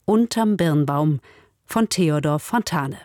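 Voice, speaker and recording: Dry close studio sound, lower-pitched woman, formal radio presenter, measured scripted narration. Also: expressive, a little mellow, no echo. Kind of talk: scripted narration, literary introduction.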